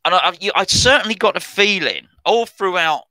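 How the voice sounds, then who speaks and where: A man's voice: high voice, expressive, brisk, pushed and bright, no room sound, rough recording. Chatty middle-aged man. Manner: chatty, rambling, self-correcting. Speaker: football podcast host. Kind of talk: casual commentary on transfer news.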